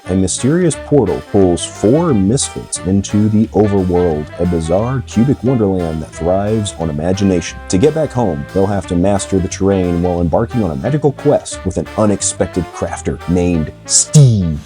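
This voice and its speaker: A man's voice: sits low, expressive, normal speed, few pauses, faint music behind. A young adult man hosting a movie review channel, slightly congested and under the weather, talking in a chatty, rambling way that often restates his points. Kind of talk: casual spoken movie review.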